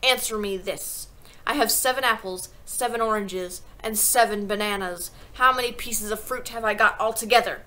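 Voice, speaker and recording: A woman performs a towering tyrant of a headmistress from a storybook. The voice is forceful and hard-edged, booming out orders. Every phrase is barked with scornful, explosive emphasis. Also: normal speed, lightly acted, poor audio. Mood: impatient, triumphant. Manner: barking, emphatic, insult-hurling. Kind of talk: audiobook character voice.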